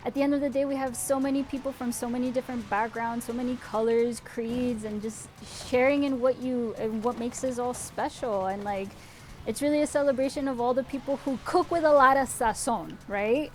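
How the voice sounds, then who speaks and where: Chatty young woman, fairly high voice. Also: brisk, a little hiss or hum. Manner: upbeat, chatty, lots of "like". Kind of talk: casual livestream chat.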